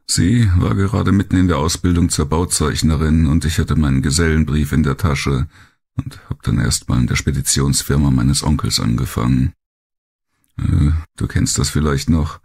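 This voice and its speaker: A man voicing a blunt, cynical, world-weary male survivor of war in an audiobook, gravelly and coarse in his talk. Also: rumbling low, a little mellow, full character voice. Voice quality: gravelly, husky. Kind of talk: audiobook character monologue.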